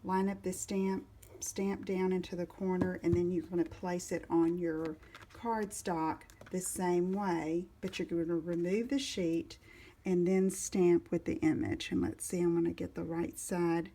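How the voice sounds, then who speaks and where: Unhurried woman demonstrating a craft. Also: lowish voice, steady tone, mellow, a little hiss or hum. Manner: unhurried, talks while working, stops to search for supplies. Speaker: card-making demonstrator. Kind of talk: casual step-by-step craft tutorial.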